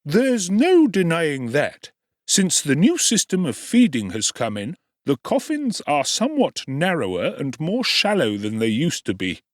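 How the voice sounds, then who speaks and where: Gaunt jocular undertaker, a man puts him on: high wheedling whine, pitch swooping all over. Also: wildly swooping pitch, unhurried, dry recording, studio quality. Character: gaunt jocular male undertaker, middle-aged.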